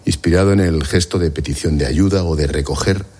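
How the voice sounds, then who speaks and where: A man's voice: very deep voice, a little fast, darkish tone. A man interviewing guests for a TV report, asking direct, probing questions.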